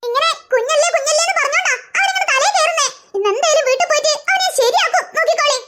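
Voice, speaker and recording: Cartoon mom voiced by a performer, stern, scolding, very high, rapid, noisy track. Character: scolding young mother. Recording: loud background noise.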